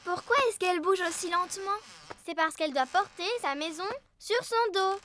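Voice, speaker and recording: A woman's voice: extremely high pitch, moderate pace, fairly bright tone, a little hiss or hum. A woman voicing an eager, curious young animal schoolchild in a preschool cartoon, with a childlike way of talking.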